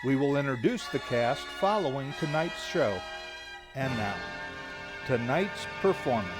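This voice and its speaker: A man's voice: mid-range voice, expressive, a little slow. A man giving a formal scripted welcome as a theater announcer. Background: music.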